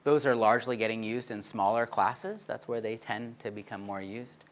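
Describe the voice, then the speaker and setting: A man's voice: lowish voice, lively intonation, normal speed. A man giving a conference talk on research findings, explaining charts with frequent fillers and "right?" checks. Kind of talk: conference presentation.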